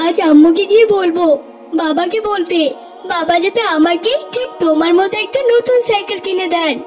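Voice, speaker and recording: An eager young cartoon schoolboy acted out by a performer, with an extremely high voice. He is earnest and headstrong, and music plays behind him. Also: normal speed. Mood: cheerful, curious. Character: eager young schoolboy, pleading then stubbornly demanding. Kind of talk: cartoon voice acting.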